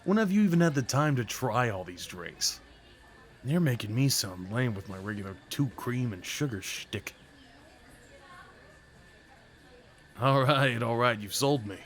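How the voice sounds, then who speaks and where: A man's voice: medium pitch, animated, normal speed, a bit bright. A young man acting a shy, sweet, apologetic date in an ASMR roleplay, talking hesitantly to the listener. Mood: playful, teasing. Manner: hesitant, apologetic, rambling, sighs and nervous chuckles.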